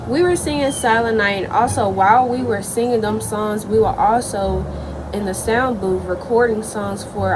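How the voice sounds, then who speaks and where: A young woman telling a personal school story in a chatty, animated way. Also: medium pitch, noisy background. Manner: chatty, animated, lots of likes. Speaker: vlogger. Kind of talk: casual storytime.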